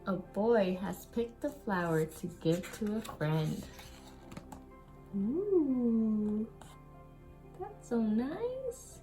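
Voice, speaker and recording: Lady reading a kids book out loud, really slow and expressive, music playing behind her. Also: fairly high pitch, poor audio. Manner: expressive, with exclamations and questions to young listeners. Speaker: storyteller reading a picture book aloud. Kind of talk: children's read-aloud narration.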